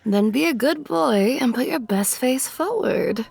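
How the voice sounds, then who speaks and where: A woman playing a commanding, condescending aristocratic vampire in a dark comedy audio drama, sweetly menacing. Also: on the high side, animated, measured pace, creaky, breathy edge, exaggerated voice.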